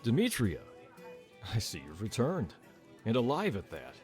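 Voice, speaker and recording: A man playing a calm, fatherly bartender and former royal guard in a fantasy audio drama, measured and easygoing. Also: medium pitch, soft background music. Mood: steady, serious. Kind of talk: amateur fantasy audio roleplay acting.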